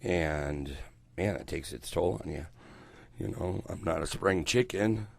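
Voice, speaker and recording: An older man hosting morning radio, his voice hoarse and croaky as he gets over a cold, rambling loosely and swearing freely into a headset mic in a small studio. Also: sits low, unhurried, no room sound. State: recovering from a cold, voice coming back. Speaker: radio host. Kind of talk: casual morning radio chat. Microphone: headset mic around the ear.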